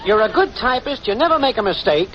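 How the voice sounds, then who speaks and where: An actor playing a cocksure, shiftless boyfriend with breezy, slangy smooth talk in a 1940s radio comedy. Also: male voice, very high-pitched, big pitch swings, quick pace, over a noisy crowd. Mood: bossy, prompting. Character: scheming, cocksure, shiftless boyfriend, a small-time wise guy. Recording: muffled, hissy 1940s radio recording.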